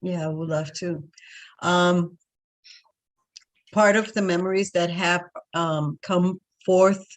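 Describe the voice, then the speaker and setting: A woman's voice: on the low side, fairly even intonation, slow, long pauses. A woman with a Puerto Rican accent, speaking as a spiritual coach in an unscripted talk. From Puerto Rico.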